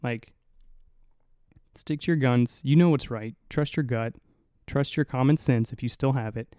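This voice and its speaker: A young man hosting a solo podcast in a low, mumbly voice, rambling and swearing casually, right up on the mic. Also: unhurried, mellow, no echo, clean studio sound. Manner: rambling, profane, self-mocking. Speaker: podcast host. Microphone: close to the mic.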